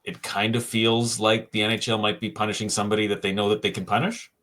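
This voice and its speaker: A man with a fairly low voice talks hockey on a casual podcast. He thinks out loud, pausing on "um" and restarting his sentences, with a dry, self-deprecating streak. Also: little pitch movement. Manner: thinks aloud with frequent "um" pauses and self-restarts. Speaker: co-host, hockey writer. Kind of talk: casual sports podcast chat.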